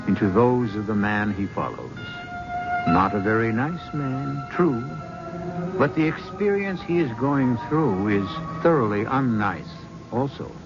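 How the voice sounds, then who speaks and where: A man narrates a 1970s radio mystery in a measured, wry and theatrical way. His delivery is animated, with music playing under it. The old broadcast sound is dull. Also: on the low side. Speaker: host narrator.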